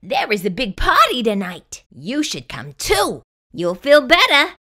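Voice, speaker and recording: A woman plays a kindly adult storybook gorilla with a bright, fairly high voice. The creature is reassuring and deliberate, drawing out its encouraging advice. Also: wildly swooping pitch, full character voice.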